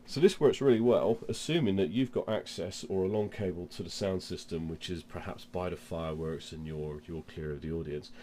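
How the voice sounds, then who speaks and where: A man's voice: low voice, a little fast, few pauses, warm tone, slight background noise. A man with a cold presents an informal product demonstration, explaining things step by step. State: has a cold. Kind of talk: informal instructional demo.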